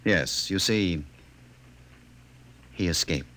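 A man's voice: deep voice, drawn-out words, bright, forceful tone, a little hiss or hum, full character voice. An actor playing a suave, patronizing official who courts his fiancée in an old science fiction radio drama. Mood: evasive, patronizing. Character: smooth, condescending male official obsessed with stability, courting his fiancée.

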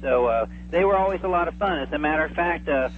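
A man's voice: a little high, fast talker. A man chatting casually as an interview guest over the telephone, in a hesitant, stammering manner. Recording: thin phone line with hum.

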